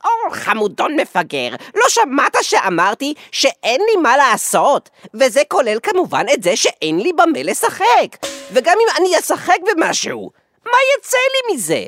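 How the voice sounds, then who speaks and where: A man's voice: very high voice, wildly swooping pitch, no echo, pitched above the performer's own voice, exaggerated voice. A man voicing a bored, bossy, hot-tempered young girl with a screechy, commanding manner. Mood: sarcastic, whining. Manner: screechy, commanding, sarcastically sweet.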